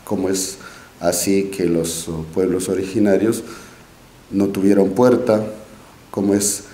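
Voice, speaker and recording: Young andean guy, quechua is his first language, slow careful spanish, lots of pauses, roomy hall sound, faint noise. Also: low-pitched, flat delivery, warm tone. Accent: Quechua-speaking Andean Spanish. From Peru, Q'ero nation. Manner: halting and modest, with many restarts and fillers. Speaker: forum speaker. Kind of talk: speech at a podium. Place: large auditorium stage. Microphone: podium mic. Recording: echoey auditorium, faint room noise.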